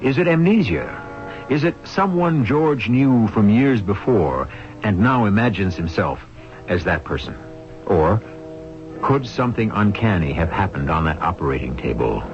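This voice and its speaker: A male host narrates an old radio mystery with suspense. His voice is low and swoops expressively, on a dull recording with some music behind it. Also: sing-song. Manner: suspenseful, deliberate. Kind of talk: dramatic scripted narration, 1970s radio mystery.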